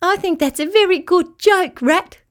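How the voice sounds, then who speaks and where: A woman's voice: very high-pitched, moderate pace, voice pushed up. A woman voicing a proud, opinionated rabbit in a children's storybook, haughty and emphatic but good-natured. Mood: amused.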